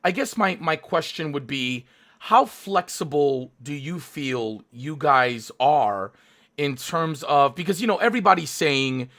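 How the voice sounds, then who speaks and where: A man hosting a live stream and interviewing a guest, energetic and hyped, full of fillers and restarts. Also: on the high side, measured pace.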